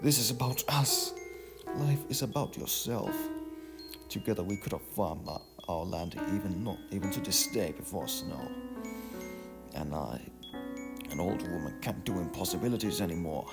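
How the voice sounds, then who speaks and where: A man's voice: sits low, expressive, moderate pace, gravelly. A man voicing a nagging, worried old village mother in a story, reproachful and complaining. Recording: music behind the voice.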